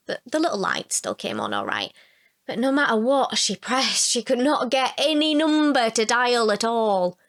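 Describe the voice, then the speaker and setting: A woman's voice: medium pitch, a bit bright, no echo, studio quality. A woman narrating a scripted short story.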